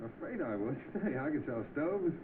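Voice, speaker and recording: A man's voice: on the high side, sing-song, normal speed. An eager hard-up young man, crackly film. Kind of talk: 1930s film acting. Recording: noisy narrow-band old film soundtrack.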